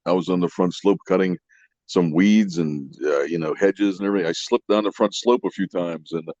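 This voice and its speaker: A male podcast guest with a fairly low voice chats in a folksy, self-deprecating manner. The recording is dry and a little dull. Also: a little fast, a little mellow, no echo. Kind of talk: casual chat. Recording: slightly dull, lo-fi audio.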